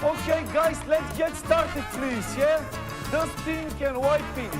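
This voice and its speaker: A man's voice: very high-pitched, fairly even intonation, slow, over loud music. A man from Bulgaria with a Bulgarian accent, talking unscripted as a cleaning crew supervisor. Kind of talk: unscripted talk for a documentary.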